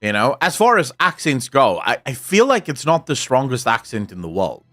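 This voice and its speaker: A South African man with a soft accent talks casually to his stream, answering chat in a candid, blunt way and swearing now and then. Afrikaans is his first language, though his English comes out smooth, at an everyday middle pitch. Faint music plays behind him. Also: sing-song, moderate pace. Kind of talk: casual live-stream chat, reading comments.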